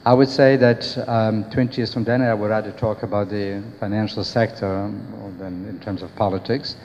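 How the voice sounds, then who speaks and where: An older man in perhaps his seventies giving halting, deliberate unscripted remarks as a panelist into a handheld microphone. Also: lowish voice, steady tone, a little mellow. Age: older, about seventies. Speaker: panelist, business executive. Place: panel table in brick-walled indoor hall. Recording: echoey hall, dull sound, faint noise.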